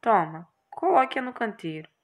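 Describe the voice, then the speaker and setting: Girl doing a calm horned magic guy for a game, dry, takes everything literally. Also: a little high. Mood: matter-of-fact. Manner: measured, dry, unruffled.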